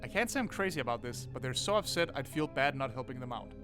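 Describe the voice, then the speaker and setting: A man's voice: mid-range voice, quick pace, over music. A young man hosting a let's play, reading the game text aloud and reacting with swearing and laughter. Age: young adult. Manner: animated, swears and laughs often. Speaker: gaming channel host.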